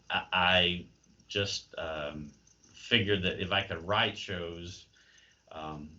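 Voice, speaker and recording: A man's voice: sits low, sharp tone, lo-fi sound. A man answering interview questions as a playwright in a hesitant, thoughtful, wry conversational way. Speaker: playwright and creative writing professor, interview guest.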